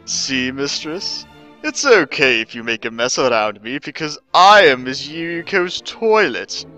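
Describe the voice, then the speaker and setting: A man voicing a devoted, eager young servant girl in a slow, drawn-out, fawning manner for a dramatic story reading. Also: mid-range voice, lively intonation, bright, forceful tone, faint music behind. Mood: fawning, breathy. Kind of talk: dramatic reading of fan fiction.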